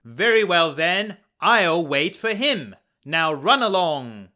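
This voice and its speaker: A man voicing a big, angry, bullying troll in a children's storybook, taunting and menacing with a booming, forceful delivery. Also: very animated, full character voice. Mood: grudging. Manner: taunting, menacing, drawn-out questions.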